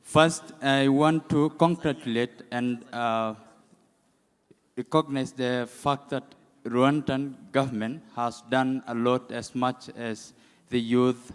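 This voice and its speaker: A man's voice: medium pitch, fairly even intonation, clean tone. A man with a Kenyan accent gives impromptu public remarks as a delegate, hesitating often as he goes. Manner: halting, hesitant. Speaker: youth director, summit delegate. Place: large indoor conference hall. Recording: echoey hall, long reverb.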